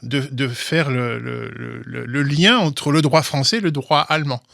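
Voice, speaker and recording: Man, mid-pitched, halting explainer, close dry mic. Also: gravelly, fairly bright tone, dry recording. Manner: halting, many restarts and repeated words. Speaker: guest, legal commentator. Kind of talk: explanatory interview talk.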